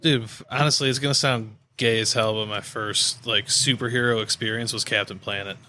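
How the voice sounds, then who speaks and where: A man's voice: fairly low pitch, fairly even intonation, fairly quick, bright, forceful tone, slight background noise. A man in his thirties with an American accent co-hosting a podcast with slangy, joking banter. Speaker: podcast co-host. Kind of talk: casual banter.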